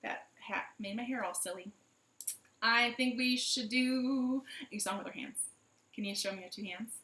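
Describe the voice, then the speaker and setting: A woman's voice: on the high side, moderate pace, sharp tone. A woman hosting storytime for young children, speaking expressively in simple phrases and questions. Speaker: children's library storytime host. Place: living room at home. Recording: roomy, echoey home recording.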